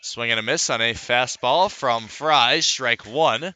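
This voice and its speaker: A man's voice: medium pitch, slow, sharp tone. An American man calling a baseball game as a live radio play-by-play broadcaster. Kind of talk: live radio sports commentary.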